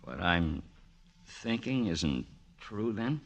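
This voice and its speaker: Slow, tormented psychiatric patient. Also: male voice, on the low side, very animated, lo-fi sound, exaggerated voice. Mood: troubled. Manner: slow, insistent. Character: agitated guilt-ridden male psychiatric patient. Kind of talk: 1940s radio drama acting. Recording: old radio broadcast, music behind.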